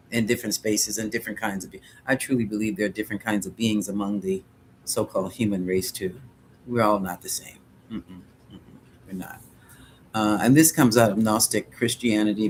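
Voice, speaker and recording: A man's voice: fairly low pitch, slight background noise, low-quality recording. A middle-aged man hosting a psychic livestream, answering viewer questions in a rambling, musing way and chuckling often.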